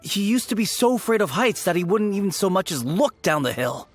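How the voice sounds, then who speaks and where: An actor playing a gentle, earnest young man in a game, remembering his childhood friend. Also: sits high, very animated, a little fast, faint music behind. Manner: earnest, warm-hearted. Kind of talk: game voice acting.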